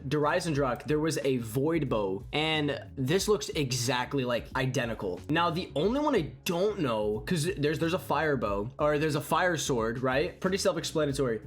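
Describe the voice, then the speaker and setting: A young man with a congested, sniffly voice, giving enthusiastic, rambling commentary on a game trailer. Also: on the high side. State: congested with a cold. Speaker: gaming YouTuber. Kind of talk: excited gameplay commentary. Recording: faint music behind.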